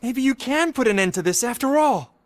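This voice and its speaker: A man's voice: very high-pitched, animated, brisk. A man playing a scared, loyal teenage petty thief in a video game, anxious and pleading. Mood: nervous, confiding.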